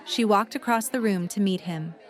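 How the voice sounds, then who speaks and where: A woman's voice: mid-range voice, moderate pace, some chatter behind. A woman reading scripted third-person story narration for an audio drama.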